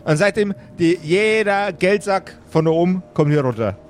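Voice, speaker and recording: A brash necromancer who runs the undead like a business, acted by a man at a game table. His voice sits a little high, and he rambles in crude, irritable slang. Clear background noise and ambience surround him. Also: expressive, moderate pace. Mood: boastful, rambling. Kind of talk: improvised tabletop role-play.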